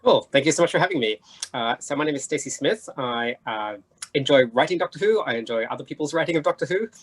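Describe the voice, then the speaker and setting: A man's voice: fairly high pitch, lively intonation, fast, slightly rough. A man speaking informally as a panelist, discursive and often checking for agreement. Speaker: panelist, writer and editor. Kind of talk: casual panel chat.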